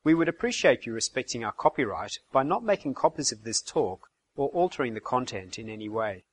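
A man reading a short recorded copyright notice in an even, formal way. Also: medium pitch, a little fast. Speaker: announcer. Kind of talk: read recorded disclaimer.

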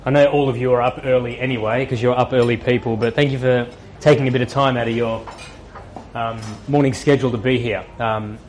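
A man with an Australian accent giving a morning talk to professionals, relaxed, with many ums, building lists of points with rising emphasis. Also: medium pitch, slight background noise. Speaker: speaker.

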